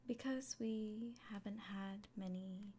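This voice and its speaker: A woman playing a kindly village innkeeper in a fantasy ASMR roleplay, speaking in a soft, breathy whisper with gentle, hesitant pauses. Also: medium pitch, close to an everyday voice. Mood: earnest, encouraging. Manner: gentle, unhurried, hesitant pauses, fussing over the listener. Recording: close soft recording with clear background noise.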